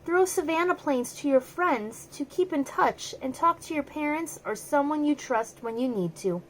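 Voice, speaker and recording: A woman's voice: sits high, expressive, normal speed, slight background noise, low-quality recording. A woman voicing a wise old female elephant from a children's storybook, speaking in a soothing, reassuring, explanatory way. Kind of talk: children's storybook read-aloud.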